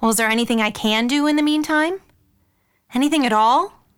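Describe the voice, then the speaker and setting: A woman's voice: sits high, a little fast, smooth, lightly acted. A woman playing a caring but exasperated middle-aged mother and aunt in an audio drama, earnest and firm. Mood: concerned.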